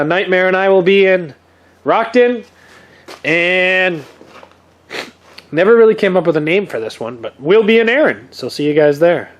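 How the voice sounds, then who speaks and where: A young man with a noticeably high voice talks casually. He is stuffy and sniffling from a cold. His speech rambles along, full of easygoing fillers. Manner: laid-back, rambling, frequent "uh" fillers. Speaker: demolition derby builder and vlogger. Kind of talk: casual vlog chat. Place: home workshop or garage.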